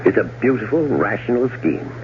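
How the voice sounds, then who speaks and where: Deep-voiced suave radio schemer played by a man. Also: lively intonation, close to an everyday voice. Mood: smooth, calculating. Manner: suave, sardonic, deliberate. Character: scheming, cold-blooded older brother plotting his wife's murder. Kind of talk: 1970s radio drama acting and first-person narration. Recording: dull, noisy old radio broadcast recording.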